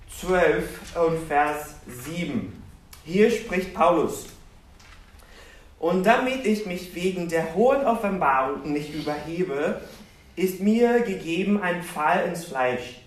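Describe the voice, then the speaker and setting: Animated preacher in an echoey hall. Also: male voice, fairly high pitch. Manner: animated, self-deprecating, asks the congregation questions. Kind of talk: sermon with personal storytelling. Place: church hall with congregation. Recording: roomy, distant church recording.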